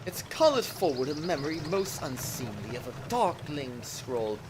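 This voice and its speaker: A man's voice: on the high side, very animated, normal speed, noisy background, pitched above the performer's own voice. A man voicing an uneasy, florid scholar from a visual novel game with theatrical old-fashioned speech. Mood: uneasy, dramatic. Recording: music behind the voice.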